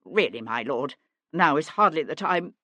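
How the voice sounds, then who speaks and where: A woman's voice: low-pitched, lively intonation, normal speed, vocal fry, no room sound. A woman voicing a blustering middle-aged merchant man in an audiobook, indignant, guilty and pleading. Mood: affronted bluster.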